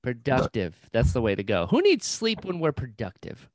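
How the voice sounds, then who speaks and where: A man hosting a podcast interview, enthusiastic and playful, agreeing warmly with his guest. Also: medium pitch, huge pitch swings, moderate pace, slight background noise. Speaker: podcast host.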